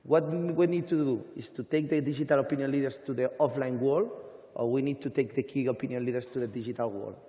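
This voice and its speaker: A man on an industry panel, speaking deliberately with halting, non-native phrasing. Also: medium pitch, fairly even intonation, warm tone. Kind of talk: panel discussion. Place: conference hall stage. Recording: hall reverb from conference stage.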